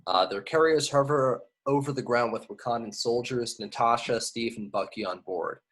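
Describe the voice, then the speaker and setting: A man narrates screenplay action in a middle-pitched voice. He reads it plainly, as part of an amateur table read. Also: normal speed, fairly bright tone. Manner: stumbles on invented place names. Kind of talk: reading screenplay action lines.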